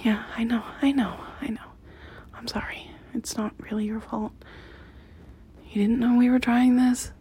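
A woman performing an intimate audio roleplay in a soft, close whisper. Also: fairly high pitch, level tone, normal speed, slightly rough.

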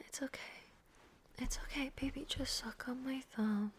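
A woman's voice: medium pitch, flat delivery, moderate pace, darkish tone, natural voice. Woman acting as whispering, coaxing female partner, noisy recording. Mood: hushed, soothing. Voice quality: breathy whisper. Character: coaxing, evasive female partner soothing someone at night. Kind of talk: whispered intimate audio roleplay.

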